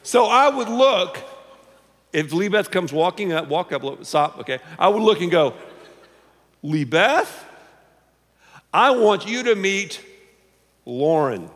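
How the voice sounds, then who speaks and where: An older male pastor preaches from a big church stage, his voice lively and rising a little high. He's playful, often sinking to a low confiding tone. A long hall reverb trails his words. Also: takes it slowly, long pauses. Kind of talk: sermon. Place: large church stage before an audience.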